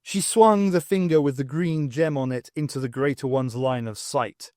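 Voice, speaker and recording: An audiobook reader giving a slow, expressive narration of a science-fiction novel. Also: medium pitch, no echo, studio quality.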